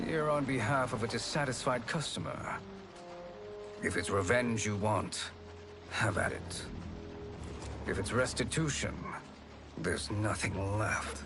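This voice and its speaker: Game role: cunning quack sorcerer, formal, smooth-talking, unhurried, with music underneath. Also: male voice, on the low side. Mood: wary, resigned. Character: sly kindly-old-man swindler selling fake magic cures. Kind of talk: dramatic video game acting.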